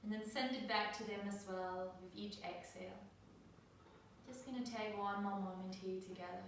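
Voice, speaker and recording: A middle-aged woman from Denmark leading a guided yoga class over a live video call, speaking in a soft, soothing way with slow, drawn-out cues. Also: medium pitch, fairly even intonation. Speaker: yoga teacher. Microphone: laptop mic at a distance. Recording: very echoey room, distant mic, faint noise.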